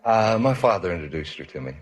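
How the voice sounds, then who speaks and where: A man's voice: low voice, sing-song, fast. An American man giving plain, brief, matter-of-fact answers as a quiz show contestant. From United States. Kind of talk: unscripted interview answers.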